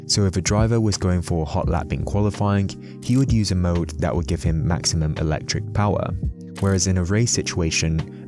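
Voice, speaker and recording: A man giving a scripted explainer narration as the host of a video. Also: low voice.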